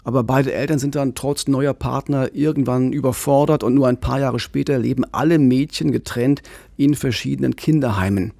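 A man in his forties hosting a true-crime podcast, calmly explaining at a studio microphone. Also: mid-range voice, moderate pace, creaky, no echo. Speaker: podcast host. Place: radio studio. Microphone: studio condenser with pop filter.

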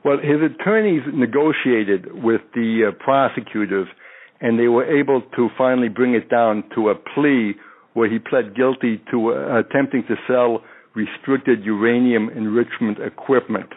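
A man's voice: medium pitch, moderate pace. A retired undercover agent in his fifties, a native French speaker, recounting cases in a rambling interview full of fillers. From born in France, raised in the United States. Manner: rambling, many fillers and restarts. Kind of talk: interview storytelling. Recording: tinny phone-line audio.

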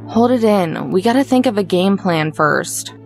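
A woman's voice: medium pitch, lively intonation, moderate pace, gravelly, a little mellow, soft background music. A woman playing a blunt, practical young woman with a dry, guarded manner in a visual novel.

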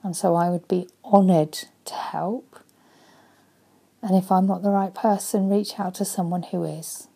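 A woman in her forties, a life coach, speaking earnestly and softly into a webcam in her living room, often dropping to a breathy near-whisper. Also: on the low side, fairly even intonation, a little slow. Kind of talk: motivational vlog talk.

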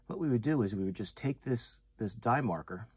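A man's voice: medium pitch, expressive, fairly quick, a little mellow, slightly rough, dry recording. A man, hosting a fishing channel and chatting casually through a hands-on demonstration, full of fillers and restarts. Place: tackle shop or room with walls of packaged lures, indoors.

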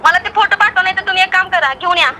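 A woman with a very high, bright voice talks insistently on the phone, with a western Maharashtrian accent. Faint traffic noise sits behind her argumentative speech. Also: very fast. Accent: western Maharashtrian Marathi. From Karad area, Maharashtra, India. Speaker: tailor. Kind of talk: phone conversation.

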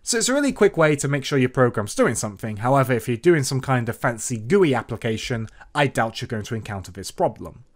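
A man presenting a software tutorial, explaining things step by step in an instructional narration. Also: medium pitch, big pitch swings, quick pace, few pauses. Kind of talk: scripted-sounding screen-recorded programming tutorial narration. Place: voice-over for a screen recording.

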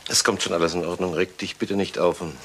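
A man playing a troubled, urgent young gentleman, son of the house, in a German radio crime drama. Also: deep voice, fairly even intonation, quick pace, noisy background. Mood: tense, controlled. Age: young adult.

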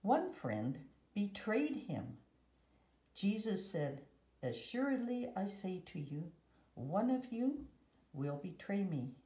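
A woman in her seventies reading a children's picture book and scripture aloud, carefully and in measured short phrases. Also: mid-range voice, very animated, mellow. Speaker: guest story reader. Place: room at home. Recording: roomy echo.

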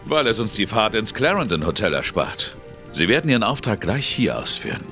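An actor playing a menacing older ex-boxer thug with a gruff, sneering manner in an audio drama. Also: male voice, lowish voice, sing-song, a little fast, music in the background. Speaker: villain. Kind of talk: studio audio drama acting.

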